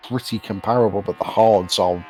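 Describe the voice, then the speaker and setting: A man giving casual, analytical live commentary on a sim race, explaining things in a measured way. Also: on the low side, darkish tone, faint music behind. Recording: faint noise behind voice.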